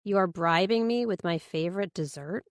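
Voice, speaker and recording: A woman's voice: lowish voice, normal speed, no room sound, studio quality, lightly acted. A woman voicing a wary, reluctant teenage girl in an audiobook, hesitant and questioning. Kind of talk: audiobook character dialogue.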